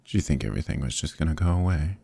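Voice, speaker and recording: Guy doing an evil knight for asmr rp, dark mellow gravelly voice, super close and dry, smug and menacing, takes his time. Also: animated, no room sound, full character voice. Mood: condescending. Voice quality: very deep, gravelly, husky. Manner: condescending, measured, softly threatening. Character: evil male knight of a conquering empire, smugly menacing. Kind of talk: ASMR roleplay, slow intimate dramatic monologue.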